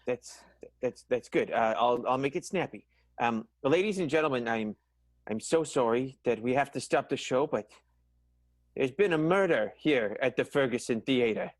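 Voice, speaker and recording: Picture a flustered radio-play theatre owner, voiced by a man, tripping over every word and repeating himself in alarm. His pitch leaps up and down in wide, lively swings. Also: normal speed, dry recording, natural voice. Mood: grave. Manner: stammers and repeats words. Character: flustered stammering male theatre owner, grieving. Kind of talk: improvised radio play acting.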